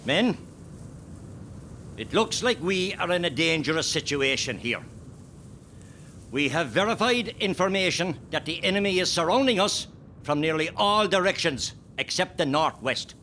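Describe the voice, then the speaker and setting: A man's voice: on the high side, animated, unhurried, bright, forceful tone, slight background noise, full character voice. An actor playing a resolute guerrilla commander who firmly rallies his men in a radio play. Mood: grave, rallying. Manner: firm, rallying.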